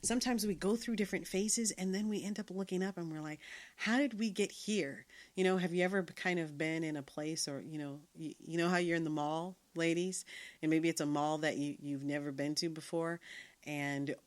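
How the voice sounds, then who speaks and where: A middle-aged woman hosts her podcast in a reflective solo talk. Her voice sits low, and she speaks earnestly, often pausing on fillers and restarting her sentences. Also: steady tone. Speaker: podcast host. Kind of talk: reflective casual monologue.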